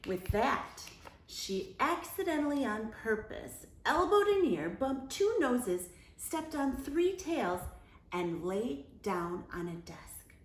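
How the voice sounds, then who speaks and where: A woman reading a children's picture book aloud in a deliberate, expressive storyteller's manner. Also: a little high, reverberant, rough recording. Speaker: story time reader.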